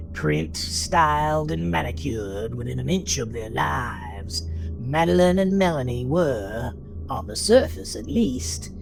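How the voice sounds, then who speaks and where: A man's voice: mid-range voice, vocal fry, low-quality recording. A man narrating a scripted story in a dramatic style, as the narrator of an audio drama. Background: music behind the voice.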